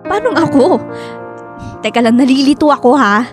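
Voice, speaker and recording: A woman's voice: high-pitched, music in the background. An actress with a Filipino accent playing an insistent, teasing young bride-to-be in a radio drama, pressing her friend expressively. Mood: confused. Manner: nagging, expressive, quick to press questions. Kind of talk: Tagalog radio drama acting.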